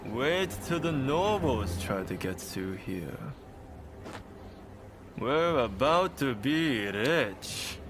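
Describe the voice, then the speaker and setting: An actor playing a smug, greedy thug villain in a video game, with a mocking drawl. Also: male voice, medium pitch, big pitch swings, drawn-out words, background noise. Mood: gloating. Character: smug, greedy ruffian villain lording over a checkpoint. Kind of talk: video game cutscene acting.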